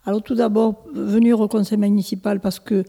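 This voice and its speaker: A woman's voice: mid-range voice, flat delivery, fairly quick, vocal fry, dark tone, long reverb tail. A woman in her seventies, born in Italy, answering interview questions as a village mayor, hesitant with many restarts and fillers. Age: 70s. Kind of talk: local interview.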